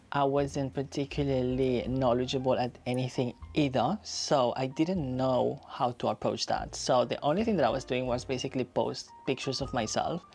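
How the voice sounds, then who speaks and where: A man's voice: medium pitch, a little mellow. A man in his thirties, raised in Spain, talking casually and reflectively to camera in a personal vlog, repeating phrases for emphasis. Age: 30s. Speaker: YouTuber.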